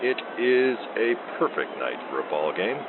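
A man playing an even-keeled, deadpan veteran sportscaster calling minor league baseball on a noisy AM radio broadcast. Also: fairly low pitch, normal speed, phone-quality sound. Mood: calm, deadpan.

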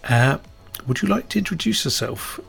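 A man's voice: medium pitch, very animated, normal speed, gravelly, fairly bright tone, faint music behind. A man hosting a podcast, introducing the show and speaking to listeners and a guest in a conversational way. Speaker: podcast host.

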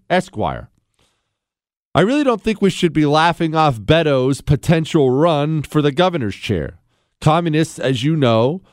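A man from Ohio hosts a radio talk show with a fairly high voice that sounds dry and close in the studio. He is opinionated and sarcastic, with mocking jokes throughout. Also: clean tone, dry recording. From Ohio, USA.

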